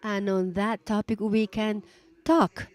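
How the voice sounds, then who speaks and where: A woman giving a simultaneous interpretation of a business conference talk, halting and rephrasing as she goes. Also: mid-range voice, level tone.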